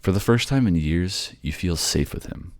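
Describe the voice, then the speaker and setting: A man's voice: low voice, normal speed. A man who voice acts for his own channel, speaking directly to his listeners as narrator and creator. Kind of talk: narration and casual sign-off to listeners.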